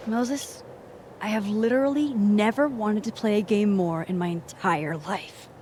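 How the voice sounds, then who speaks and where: A woman's voice: mid-range voice, unhurried, darkish tone, a little hiss or hum, lightly acted. A woman playing a wry, playful young woman in a naturalistic video game, casual and teasing. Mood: playful, eager.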